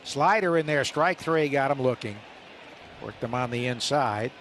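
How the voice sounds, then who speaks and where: A man's voice: mid-range voice, moderate pace, a little hiss or hum. A man calling a baseball game live on the radio as the play-by-play announcer. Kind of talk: live radio sports commentary. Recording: steady stadium ambience behind live broadcast. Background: ballpark ambience.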